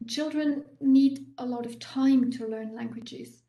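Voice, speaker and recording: A woman academic answers audience questions in a slightly higher voice. She explains patiently and restarts her phrases with many small fillers. The room around her is echoey. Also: steady tone, slow pace, dark tone. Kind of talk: university talk Q&A.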